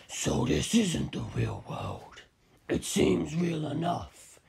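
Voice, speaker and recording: A narrator voicing a cold, disdainful superhuman male villain in a slow, deliberate, breathy whisper. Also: mid-range voice, huge pitch swings, a little mellow, heavily acted. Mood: cool curiosity.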